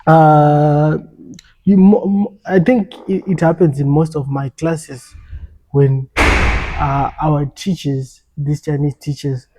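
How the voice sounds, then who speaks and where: A man's voice: a little high, fairly even intonation, slow pace, a little mellow, low-quality recording. A young African man talking earnestly in a casual podcast conversation, often repeating and restarting his words.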